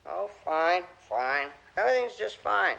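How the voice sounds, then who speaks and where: A man's voice: high-pitched, sing-song, measured pace, creaky, muffled recording, full character voice. An actor playing an easygoing, wisecracking man living on the moon. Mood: wry. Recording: loud music behind.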